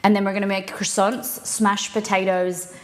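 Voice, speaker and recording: A woman's voice: medium pitch, little pitch movement, normal speed. A young Australian woman hosting a live cooking stream, chatting casually with fillers as she cooks in a home kitchen. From Perth, Western Australia.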